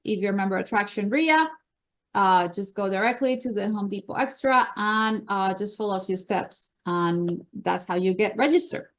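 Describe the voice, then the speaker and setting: A woman with a Colombian accent runs through meeting announcements in an upbeat, chatty way. Her voice sits at a middle pitch. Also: moderate pace. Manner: enthusiastic, chatty presenter. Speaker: meeting host.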